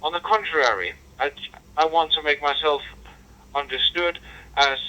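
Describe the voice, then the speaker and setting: A man's voice: a little high, moderate pace, bright, forceful tone, poor audio. A man with a Danish accent chatting over amateur radio, polite and hesitant, full of fillers and restarts. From Denmark. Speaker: ham radio operator. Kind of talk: two-way radio chat.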